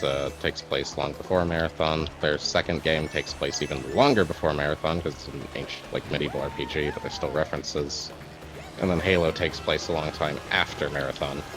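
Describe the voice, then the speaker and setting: A man streaming a kart racing game, chatting casually, rambling about game lore and swearing when excited. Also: extremely low pitch. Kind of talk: casual gaming chat.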